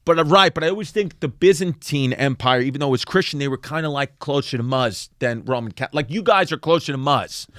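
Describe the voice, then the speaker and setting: A man's voice: mid-range voice, brisk, few pauses, a bit bright, dry recording, clean studio sound. A man co-hosting a podcast, joking around and tossing out teasing questions in casual chat. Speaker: podcast co-host.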